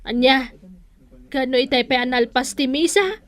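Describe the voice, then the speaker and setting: A woman's voice: high voice, moderate pace, dry recording, lightly acted. A woman playing a nosy gossiping village storekeeper in a comedy radio drama, with a conspiratorial, insinuating manner. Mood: prying.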